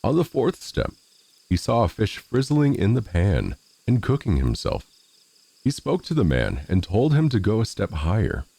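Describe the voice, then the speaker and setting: Male narrator for classic fairy tales, low and mellow, with very wide swings in pitch. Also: huge pitch swings, moderate pace, gravelly, breathy edge, no echo. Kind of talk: scripted storybook narration.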